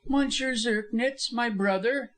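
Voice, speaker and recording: A man voicing a defiant, scornful widow in an audiobook, steady and sneering in manner. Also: very high-pitched, takes it slowly, pitched above the performer's own voice, full character voice. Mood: urgent. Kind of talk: audiobook character acting.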